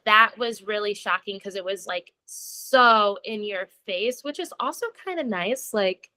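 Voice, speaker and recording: Chatty young American woman, bright and clear tone, casual talk over a video call, loose and filler-heavy. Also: mid-range voice, unhurried. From United States. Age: young adult. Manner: chatty, lots of "like" fillers, laughs while talking. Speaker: interview guest. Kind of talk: casual conversation.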